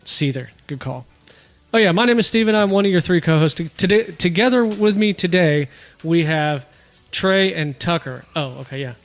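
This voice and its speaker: A man co-hosting a casual podcast, easygoing and quick to laugh. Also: high-pitched, expressive. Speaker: podcast co-host.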